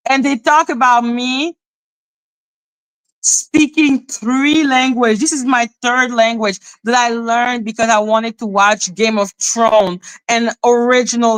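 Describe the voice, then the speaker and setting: Bright-voiced woman ranting. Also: on the high side, clear, resonant tone, no room sound, poor audio. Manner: sarcastic, exasperated, rambling. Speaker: livestream host. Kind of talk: ranting live-stream commentary.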